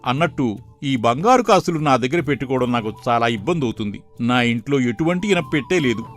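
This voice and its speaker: A man acts out an elderly village priest for a moral tale cartoon. He is kindly and firm, a shrewd adviser who speaks at a middle pitch, with music playing underneath. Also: lively intonation, brisk, close to an everyday voice. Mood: earnest, advising. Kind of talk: moral story cartoon dialogue.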